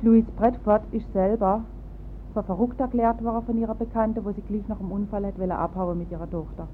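A woman's voice: steady tone, fairly quick. A woman with a Baden Alemannic accent hosting a radio programme in an informal moderating style. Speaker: radio host. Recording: muffled phone-like sound, rumbling noise.

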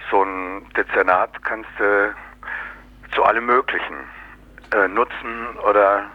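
A man's voice: on the low side, steady tone, unhurried, dull audio. A man explaining his views casually in an interview, sardonic and colloquial, with many little tag questions.

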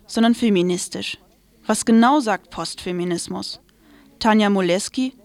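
A woman's voice: mid-range voice, dry recording. A woman presenting on radio, reading a scripted commentary aloud.

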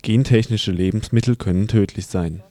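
A man's voice: lowish voice, moderate pace, no echo. A man announcing the station and reading scripted news headlines. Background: loud guitar music.

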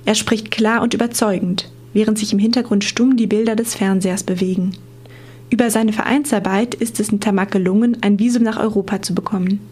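A woman's voice: mid-range voice, steady tone, a little fast, a little mellow, slightly rough. A woman reporter reading scripted documentary narration for a radio feature.

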